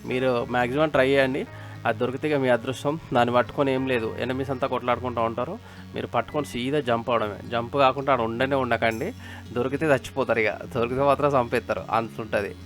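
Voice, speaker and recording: A man's voice: mid-range voice, fairly even intonation, fast. A man with a Telangana Telugu accent giving casual, informal game update commentary, repeating himself and advising listeners directly. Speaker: gaming video creator. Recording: music behind the voice. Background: music.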